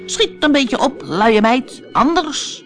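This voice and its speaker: Woman acting a bossy, high-pitched angry rabbit. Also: expressive, slow pace, a bit bright, soft background music, exaggerated voice. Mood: bossy, impatient. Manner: angry, commanding, impatient. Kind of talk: children's fairy-tale storytelling.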